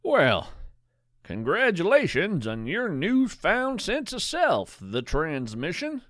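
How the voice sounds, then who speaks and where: A man performs a kindly, eccentric naturalist in an audio drama, whimsical and gentle. His voice is fairly high and swoops widely, with slow, drawn-out phrases and faint music behind it. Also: huge pitch swings, dry recording, clean studio sound, full character voice. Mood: warm, content. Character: folksy, kindly eccentric cryptid naturalist living in an RV. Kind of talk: scripted audio fiction monologue.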